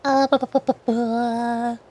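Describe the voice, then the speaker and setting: A woman's voice: a little high, little pitch movement, unhurried, darkish tone. A young woman streamer chatting casually with her viewers, rambling as she shows old screenshots. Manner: chatty, rambling, reads chat aloud.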